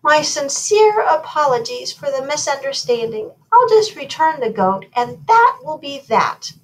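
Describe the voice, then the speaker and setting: Woman putting on an airy, high-pitched fairy godmother. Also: big pitch swings, measured pace, rough recording. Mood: apologetic. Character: bright, gracious female fairy godmother, easily flustered. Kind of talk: storybook read-aloud.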